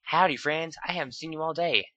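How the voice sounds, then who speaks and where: Man voicing a down-home farm pony mare, high folksy drawl, bright tone. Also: a little fast, no room sound, muffled recording. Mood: friendly.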